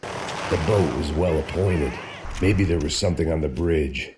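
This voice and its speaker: Casting: jaded American game hero, middle-aged, extremely low voice, deadpan noir narration, some background ambience. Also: male voice, a little slow, noisy background. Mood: sardonic. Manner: world-weary, deadpan, sardonic.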